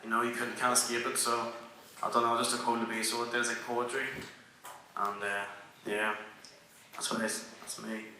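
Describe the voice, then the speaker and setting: Laid-back Northern Irish young man, bright. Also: mid-range voice, completely flat delivery, moderate pace, pauses often, slight background noise. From Northern Ireland. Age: young adult. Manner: loud, laid-back slang. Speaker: panelist, rapper. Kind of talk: casual panel talk. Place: large hall at a conference. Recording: echoey hall, mic far off.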